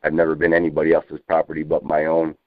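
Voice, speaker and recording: A man talking informally about politics as an activist on a phone conference call, rambling and insistent, with halting, repetitive phrasing. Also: rumbling low, monotone. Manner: halting, repetitive, insistent, peppered with you know. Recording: muffled narrowband phone conference line.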